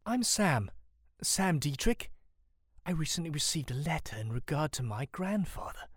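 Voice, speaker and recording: A man's voice: a little high, big pitch swings, moderate pace, breathy edge, dry recording, clean studio sound, voice pushed up. A man playing an anxious, polite young man with a hesitant stammer in an audiobook story. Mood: nervous, explaining. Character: anxious, stammering young man seeking his lost grandfather. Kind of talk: audiobook character acting.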